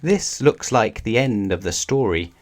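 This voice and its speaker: A man reading a children's story aloud in a measured, expressive storytelling manner. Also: fairly low pitch. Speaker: storybook reader.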